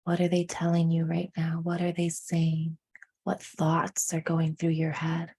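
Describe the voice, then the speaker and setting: A woman leading a guided meditation in a hushed, soothing manner, with deliberate phrasing and frequent pauses. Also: sits low, completely flat delivery, slightly rough.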